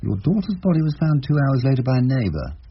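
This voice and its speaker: A dull, noisy radio drama recording carries a wry English barrister. His voice is fairly low and very varied in melody, with a dry, deliberate, musing delivery. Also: big pitch swings. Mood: measured, probing. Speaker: actor in the lead role and narrator. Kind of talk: radio drama narration and dialogue.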